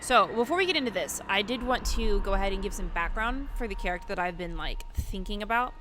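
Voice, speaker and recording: A woman's voice: mid-range voice, expressive, fast, background noise. A woman giving casual, chatty game commentary, thinking aloud in an informal way as the host of her video. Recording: loud music behind voice.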